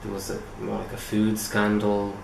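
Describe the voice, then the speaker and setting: A man's voice: fairly low pitch, completely flat delivery, normal speed, gravelly. A man from Ireland, an English teacher giving hesitant interview answers with many restarts. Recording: echoey room with faint noise.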